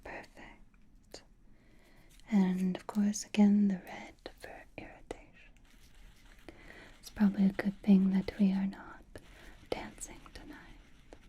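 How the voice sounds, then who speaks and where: A woman playing a centuries-old aristocratic vampire lady in a whispered ASMR roleplay, doting, possessive and softly teasing, with refined manners and a breathy voice. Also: mid-range voice, monotone, takes it slowly, pauses often, muffled recording.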